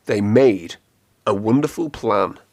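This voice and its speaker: A man reading a children's storybook aloud in an expressive, clearly phrased storytelling manner. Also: on the low side, slow. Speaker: storybook reader.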